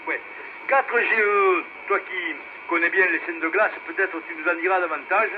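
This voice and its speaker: Male radio net host, higher-pitched, rambling and hesitant, casual chat on a noisy two-way radio. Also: normal speed. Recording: noisy, muffled VHF radio relay.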